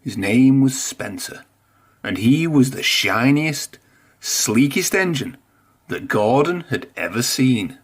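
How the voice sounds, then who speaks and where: A man reads a children's storybook aloud. His pitch moves up and down in a lively way, his pace is unhurried, and his voice has a slightly husky grain. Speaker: storybook reader. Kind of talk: expressive read-aloud children's story narration.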